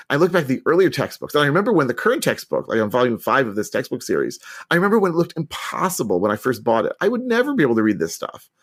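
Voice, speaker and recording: Animated man, lively rising and falling pitch. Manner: animated, quick restarts, chatty. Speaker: podcast guest. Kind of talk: enthusiastic interview answers.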